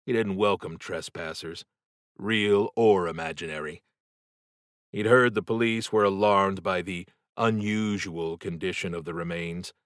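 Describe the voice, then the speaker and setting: A man reads a horror novel in a dry, studio-like sound. His voice sits fairly low and he takes his time, very slowly building suspense. The delivery is measured, dramatic storytelling. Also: fairly bright tone, dry recording. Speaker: audiobook narrator.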